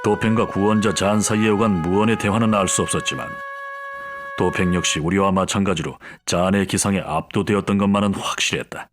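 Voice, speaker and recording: A man's voice: low voice, steady tone, soft background music, natural voice. A man playing a weary, grave French soldier narrating his war diary in a video game cutscene. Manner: grave, measured storytelling. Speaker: campaign narrator.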